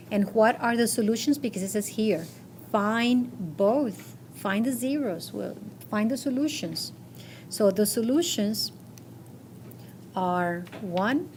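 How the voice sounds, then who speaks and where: A woman's voice: medium pitch, a little mellow. A woman teacher explains math solutions to her class in a mid-range voice. She moves step by step, posing short questions as she goes. The classroom recording carries some faint background noise. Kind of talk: classroom lesson going over quiz answers.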